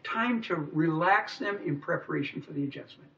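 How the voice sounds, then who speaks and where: Male interview guest, 60, American, enthusiastic and chatty, high-pitched, recorded from a distance. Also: animated, brisk, creaky, fairly bright tone, a little hiss or hum. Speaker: interview guest, mobile chiropractor. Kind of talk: casual radio interview. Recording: distant, muffled radio capture.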